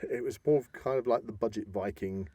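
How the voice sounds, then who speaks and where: A man's voice: on the low side, a little fast, mellow, breathy edge, no room sound. A British man co-hosting a podcast in casual, jokey, rambling chat, recorded close up in a studio. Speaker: podcast co-host. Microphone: close studio microphone.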